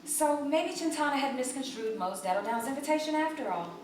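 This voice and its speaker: Bright-pitched woman reader, animated, distant mic, heavy echo. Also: brisk. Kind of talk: live ensemble reading of a novel. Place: theater stage. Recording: echoey hall, distant mic, noisy.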